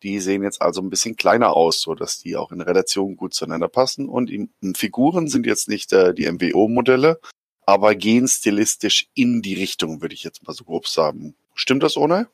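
A hoarse man on a podcast, talking in a rough, gravelly register that sits a little low. He rambles with eager excitement and drops jokes along the way. The sound is close and dry. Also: a little fast, dry recording. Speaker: podcast co-host. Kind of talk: enthusiastic casual chat.

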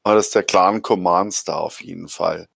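A man with a hoarse voice chatting casually on a podcast, excited and joking as he rambles. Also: deep voice, a little fast, no room sound. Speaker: podcast co-host. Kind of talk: enthusiastic casual chat.

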